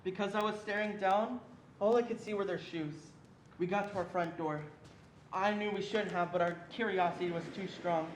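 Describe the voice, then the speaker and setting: An earnest young storyteller recalls a scared childhood in a reader's theatre piece , acted by a student reader. The voice sits at a middle pitch in a roomy, echoing space with faint noise. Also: fairly even intonation, normal speed, lightly acted. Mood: uneasy recollection. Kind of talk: scripted dramatic narration.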